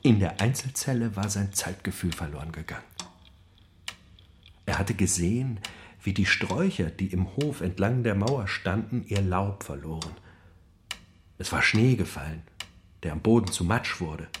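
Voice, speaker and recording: A man's voice: sits low, lively intonation, moderate pace, pauses often, slight background noise. An actor playing a brooding, intense male narrator of a prisoner's inner life in a literary radio drama. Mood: brooding, hushed.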